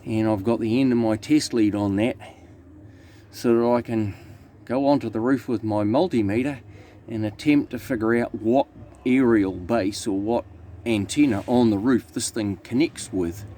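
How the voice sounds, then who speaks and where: A man's voice: medium pitch, normal speed. A man giving a matter-of-fact, slightly grumbling hands-on commentary as a technician at work in a truck. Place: inside a truck cab and on its roof. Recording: steady background noise, vehicle ambience.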